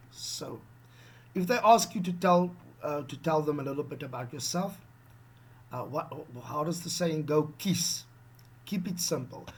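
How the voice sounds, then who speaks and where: This is a husky, slightly higher-pitched man with an Afrikaans South African accent. He sounds middle-aged and coaches teachers in a casual, animated way, often stopping to ask "okay?". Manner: animated, repeats "okay?" to check in with the listener. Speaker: online English teacher. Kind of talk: casual video lesson.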